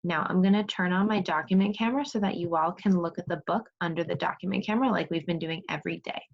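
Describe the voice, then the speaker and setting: A woman's voice: lowish voice, fast, gravelly. A woman teaching second grade in an interactive remote read-aloud, giving instructions, asking questions and praising pupils. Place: remote video class. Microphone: video call. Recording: dull lo-fi video call audio.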